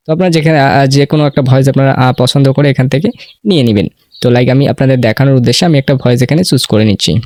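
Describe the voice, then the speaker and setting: A man's voice: medium pitch, rapid-fire, a little mellow, dry recording, clean studio sound. A man presenting an informal step-by-step screen tutorial, often checking that listeners follow along. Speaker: tutorial host.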